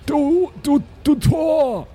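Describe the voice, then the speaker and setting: A man putting on a shrill, indignant oddball. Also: expressive, very slow, dark tone, heavily acted.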